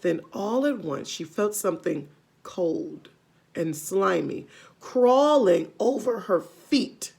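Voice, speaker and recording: Slow, creaky-voiced woman reading aloud. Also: medium pitch. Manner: expressive, explains things simply to children. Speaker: read-aloud storyteller. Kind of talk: children's story read aloud, with simple asides.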